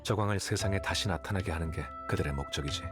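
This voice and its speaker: A man playing a calm, aloof, calculating young scholar in a video game, explaining things in a composed, matter-of-fact way. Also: sits low, fast talker, over loud music, lightly acted. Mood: calm, explanatory. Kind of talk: scripted game dialogue, Korean voice acting.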